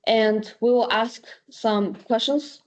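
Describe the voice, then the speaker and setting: A woman's voice: on the high side, fairly even intonation, slow pace, lo-fi sound. Teenage girl hosting with many ums. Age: teens. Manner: hesitant, many fillers. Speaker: student host. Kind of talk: online panel hosting.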